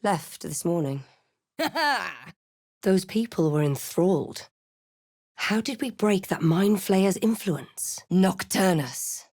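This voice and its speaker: A woman voicing a wary, composed adventurer heroine for a fantasy video game, with measured, dramatic delivery. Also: lowish voice, a little mellow, dry recording, clean studio sound. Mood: watchful, measured.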